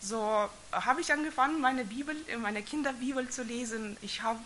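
A woman from the former Soviet Union, speaking German as a second language, gives a personal faith testimony and reads scripture in a halting, hesitant way with frequent restarts. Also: a little high, a bit bright, slight background noise. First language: not German. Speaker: church member giving testimony.

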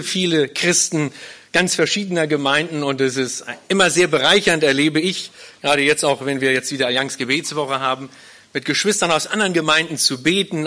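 Male pastor, forties, from Berlin, forceful bright tone, slightly high register, earnest live testimony. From Berlin, Germany. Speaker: guest pastor leading a small church plant. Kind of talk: live interview, personal testimony to a congregation.

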